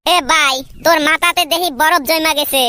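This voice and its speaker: Guy doing a squeaky high cartoon village lad, grumbly n teasing, rangpur bengali accent, noisy audio. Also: steady tone, normal speed, sharp tone, exaggerated voice. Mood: complaining. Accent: northern Bengali (Rangpur region). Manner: teasing, colloquial banter. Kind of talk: cartoon comedy dialogue.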